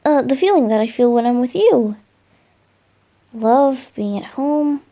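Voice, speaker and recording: A woman voicing a gentle, lovestruck boyish male wind spirit in a romantic roleplay ASMR, speaking tenderly with soft murmurs and hesitant pauses. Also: on the high side, expressive. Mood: warm, romantic.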